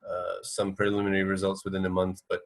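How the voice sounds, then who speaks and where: A man with a fairly low voice chats as a radio co-host. He is hesitant and measured, feeling his way through each point in a casual discussion. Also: completely flat delivery. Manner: hesitant, tentative, measured wording.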